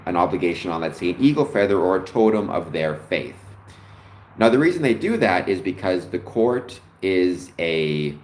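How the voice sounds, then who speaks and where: A man's voice: deep voice, darkish tone, slight background noise. A man hosting a casual livestream, explaining at length and reading out viewer comments as he answers them. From Canada. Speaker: livestream host answering chat. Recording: echoey room with faint ambience.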